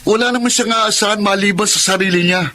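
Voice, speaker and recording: A man's voice: high-pitched, fast, sharp tone. A man playing a caring, earnest younger sibling in a Tagalog radio drama. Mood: reproachful.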